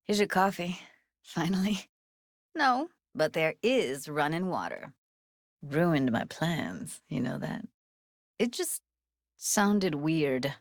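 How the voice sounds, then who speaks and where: An actress playing a tough, sardonic but warm-hearted young woman in a video game, talking in casual, clipped slang. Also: low-pitched, lively intonation, slow, long pauses, no echo, clean studio sound, full character voice. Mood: subdued. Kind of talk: naturalistic video game voice acting.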